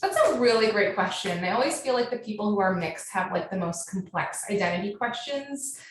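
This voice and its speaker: A woman with an American accent answering questions as a guest author in a casual, animated, chatty way that leans on "like". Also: medium pitch, brisk. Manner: animated storytelling with frequent "like". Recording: very echoey, distant, faint noise.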